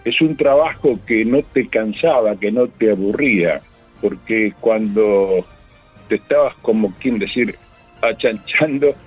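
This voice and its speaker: A man's voice: on the low side, slightly rough. A man, a radio newsman talking reflectively about his work. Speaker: radio news journalist. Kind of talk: reflective interview talk. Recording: narrow radio sound, music behind.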